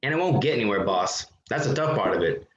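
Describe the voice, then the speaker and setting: A man's voice: fairly low pitch, lively intonation, fast talker, muffled recording, lightly acted. A man playing a slangy, grumbling, cynical newspaperman in a 1940s-style radio drama, roomy and noisy recording. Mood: cynical, exasperated. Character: slangy, cynical newspaperman reporting to his boss. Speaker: actor in an old-time radio drama revival. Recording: roomy echo, clear background noise.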